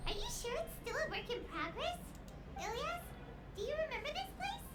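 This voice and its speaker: A woman voicing a tiny, curious, excitable fairy companion with a squeaky childlike voice in a video game. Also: normal speed, echoey room, distant mic, background noise. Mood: puzzled. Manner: speaks of self in third person. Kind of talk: animated video game dialogue.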